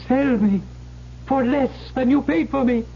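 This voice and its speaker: A shrill, slow bottle imp. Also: male voice, vocal fry, full character voice. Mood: eerie servile. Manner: slow, high, unearthly servility. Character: male bottle imp, devil's servant, bound and bitter. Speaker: actor in radio drama. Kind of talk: 1970s radio drama acting. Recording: muffled old radio recording with hiss.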